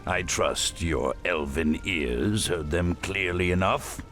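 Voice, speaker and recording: A bigoted game warlord, pompous and cold, slow delivery. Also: male voice, low-pitched, a bit bright, soft background music. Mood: contemptuous. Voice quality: haughty, clipped commands, contemptuous. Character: arrogant human grand marshal, bigoted and domineering. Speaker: military commander. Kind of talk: video game cutscene voice acting.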